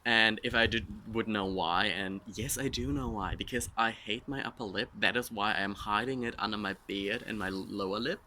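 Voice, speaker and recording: A man's voice: lowish voice, normal speed, hardly any pauses, pushed and bright, slight background noise. A man in his twenties with a German accent, answering his followers' questions in a frank, self-mocking, rambling way. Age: 20s. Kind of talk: casual Q&A monologue.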